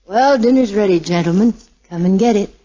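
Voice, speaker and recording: A woman's voice: medium pitch, a little fast, mellow, natural voice. An actress playing a brave, restrained young woman grieving her husband in a 1940s radio drama. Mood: brave, subdued. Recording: muffled 1940s radio recording with music.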